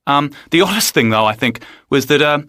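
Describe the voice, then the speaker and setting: A man with an Australian accent telling his story in a relaxed, easygoing, self-deprecating way. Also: on the high side, a little fast, no echo. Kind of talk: unscripted personal account.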